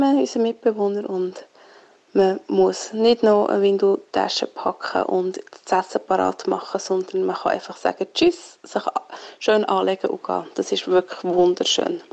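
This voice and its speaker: A woman's voice: medium pitch, fairly even intonation, normal speed, gravelly, darkish tone. A woman with a Swiss German accent giving a casual personal account as a parent, on a slightly dull recording. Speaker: parent sharing experience.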